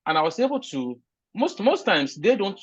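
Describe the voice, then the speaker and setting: A man's voice: sits high, big pitch swings, normal speed, breathy edge, dry recording. A man from Nigeria with a Nigerian accent, answering interview questions in an earnest, emphatic way with frequent restarts. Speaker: interview guest, recent immigrant. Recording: dull, lo-fi sound.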